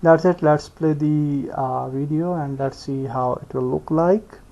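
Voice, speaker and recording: A man giving an unscripted step-by-step software tutorial, speaking haltingly and thinking aloud as he works. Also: on the high side, steady tone, creaky. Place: indoors, screen-recording voice-over. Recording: dull lo-fi voice recording.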